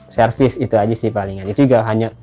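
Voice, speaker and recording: A man in his thirties speaks in a mellow, rather dark voice, searching for words and rambling through his answers. Light music plays faintly in the background. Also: lowish voice, steady tone, fast. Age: 30s. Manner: hesitant, rambling, many fillers. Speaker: interviewee. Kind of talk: casual interview answers.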